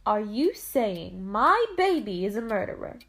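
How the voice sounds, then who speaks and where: Woman as expressive, protective mother. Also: medium pitch, unhurried. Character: polite, protective mother under suspicion. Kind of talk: amateur puppet-show murder mystery.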